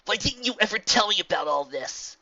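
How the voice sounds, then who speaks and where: A man voicing a brash, hot-tempered young female pegasus who yells and snaps sarcastically, on a dull lo-fi recording. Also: animated, fairly quick, exaggerated voice. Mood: pleading. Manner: shrill, yelling, sarcastic.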